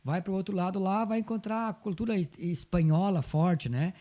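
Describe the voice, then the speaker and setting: A man's voice: sits high, moderate pace, warm tone. A man with a southern Brazilian accent from Rio Grande do Sul, telling stories conversationally as an interview guest and repeating phrases for emphasis. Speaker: interview guest, folk dance group coordinator. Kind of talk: conversational storytelling.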